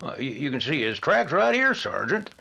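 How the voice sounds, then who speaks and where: An actor playing a helpful, easily flustered village blacksmith who stammers a little in a radio drama. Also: male voice, medium pitch, big pitch swings, moderate pace, gravelly, sharp tone, slightly rough, muffled recording, heavily acted. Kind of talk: old-time radio drama acting.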